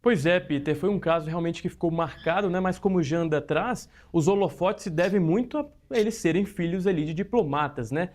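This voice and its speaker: High-voiced guy hosting a tv talk show, upbeat, friendly, keeps asking the guests stuff. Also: a little fast, hardly any pauses, a little mellow. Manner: upbeat, friendly, asks leading questions. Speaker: news show host. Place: TV studio.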